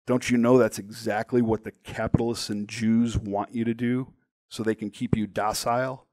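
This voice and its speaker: An intense older man, acted out by a male storyteller, steady and earnest with a commanding edge. The voice sits fairly low in a dry space. He speaks like a recruiter in a memoir who expects to be obeyed. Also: normal speed, no echo, lightly acted. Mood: intense, preaching.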